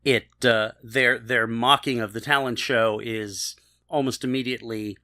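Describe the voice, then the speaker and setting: A man co-hosting a casual podcast about television, speaking haltingly and repeating words as he goes. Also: mid-range voice, fairly even intonation, sharp tone, no echo, clean studio sound. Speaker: podcast co-host. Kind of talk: casual TV episode discussion chat.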